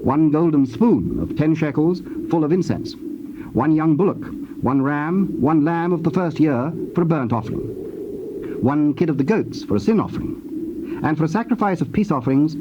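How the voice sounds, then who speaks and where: A man with a British accent reads scripture as a formal narrator, measured and precise. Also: fairly high pitch. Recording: dull, noisy old cassette tape.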